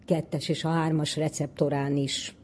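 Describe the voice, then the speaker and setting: A woman with a low, mellow voice works as a radio interviewer, putting questions and summing up. There is faint noise behind her voice. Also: little pitch movement.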